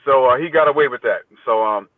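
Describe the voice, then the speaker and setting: A man's voice: mid-range voice, quick pace, no room sound. A man in his fifties, a teacher appearing as a podcast guest, talking conversationally and with good humor, often repeating his phrases. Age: 50s. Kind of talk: casual interview chat. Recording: thin phone-like connection.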